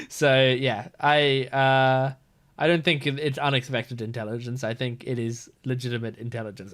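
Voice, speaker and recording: A man giving casual reaction commentary in an expressive, exclamatory fan style, swearing casually. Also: mid-range voice. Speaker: reaction video host.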